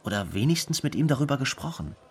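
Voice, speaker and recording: An actor playing the grieving brother of a dead singer, reminiscing thoughtfully in a radio play monologue. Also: male voice, mid-range voice, very animated, fast talker, breathy edge. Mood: reflective, sad.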